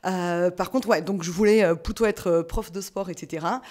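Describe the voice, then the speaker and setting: Middle-aged woman guest, chatty, rambling, quick to laugh. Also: on the low side, a little mellow. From France. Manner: chatty, many fillers and restarts, laughs easily. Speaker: guest, physiotherapist. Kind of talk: casual interview conversation.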